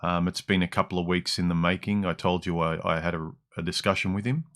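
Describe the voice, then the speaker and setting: A man with an Australian accent hosting a casual livestream into a dynamic mic, with a deep, slightly harsh voice and rambling, restart-filled speech. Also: fairly even intonation, quick pace, fairly bright tone. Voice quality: deep voice with some harshness in the mids and lows. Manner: rambling, with frequent ums and restarts. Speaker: livestream host. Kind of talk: casual livestream chat reading comments. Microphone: dynamic broadcast mic.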